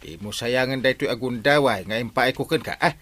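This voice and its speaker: A man's voice: medium pitch, a little fast, fairly bright tone, natural voice. A man with an Ilocano accent acting as a stern but forgiving village captain in a radio comedy drama. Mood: admonishing.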